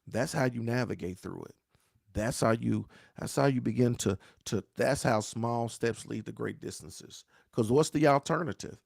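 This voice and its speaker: A pastor who talks to viewers in a preachy, repeating way and asks "right?" again and again. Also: male voice, mid-range voice, moderate pace, creaky, a little mellow, no echo, clean studio sound. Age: 40s. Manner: dialect grammar, says "y'all". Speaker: pastor hosting a grief talk show. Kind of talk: live-stream talk answering viewer questions.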